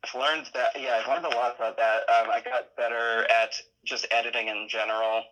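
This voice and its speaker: Male podcast guest, bright mid-range voice, conversational, hesitant, modest delivery. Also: mid-range voice, flat delivery, fairly quick, lo-fi sound. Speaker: podcast guest, audio drama creator. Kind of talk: casual interview chat.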